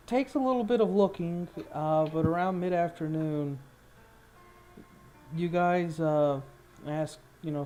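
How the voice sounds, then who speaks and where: A man narrating an improvised tabletop game as game master, halting as he searches for words. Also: on the high side, warm tone.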